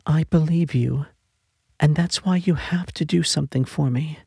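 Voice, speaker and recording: A man voicing a dying frontier mother who pleads gently with her husband. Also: on the high side, normal speed, creaky, husky, no echo, clean studio sound, pitched above the performer's own voice, heavily acted. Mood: pleading.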